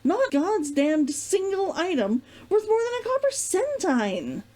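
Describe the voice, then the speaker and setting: A woman's voice: very high-pitched, big pitch swings, unhurried, mellow, voice pushed up, heavily acted. A woman voicing a wry young woman speaking informally to an old flame in a storybook reading. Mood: exasperated.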